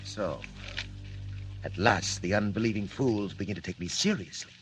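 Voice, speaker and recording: An actor playing a cold, imperious criminal mastermind in 1940s radio style, speaking formally and condescendingly. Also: male voice, on the low side, normal speed, lightly acted. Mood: scornful. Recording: old radio recording under loud music.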